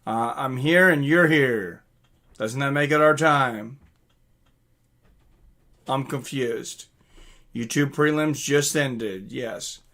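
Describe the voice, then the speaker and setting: A man's voice: medium pitch, slow pace, pauses often, fairly bright tone. A man hosting a live stream, chatting casually and reading out comments from viewers.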